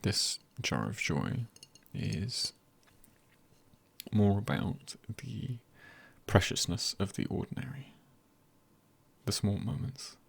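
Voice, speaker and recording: A man with a low voice delivers soft-spoken ASMR encouragement. His tone is heavily creaky and husky, with plenty of breath in it. He speaks in a hush that often slips into a whisper, leaving deliberate pauses between phrases. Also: big pitch swings. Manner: hushed, often dropping into a whisper. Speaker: ASMR creator.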